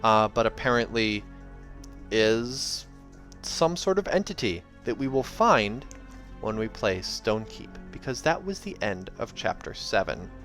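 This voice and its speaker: A hoarse man reading a novel aloud and chatting casually between passages, with joking asides and many fillers. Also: on the low side, big pitch swings, unhurried. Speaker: reader and channel host. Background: soft game music.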